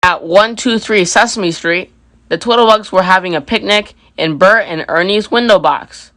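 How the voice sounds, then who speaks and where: A woman's voice: mid-range voice, normal speed, fairly bright tone, dry recording, rough recording. A woman reading a children's story aloud as scripted narration. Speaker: storybook reader.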